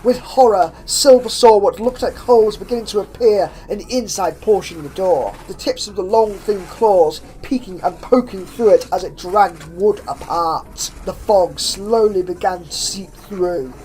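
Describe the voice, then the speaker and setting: A woman narrates a horror story in a theatrical, suspenseful way, with drawn-out phrases and hushed drops. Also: medium pitch, rough recording. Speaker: fan fiction narrator. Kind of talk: dramatic horror story reading. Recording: clear background noise with ambience.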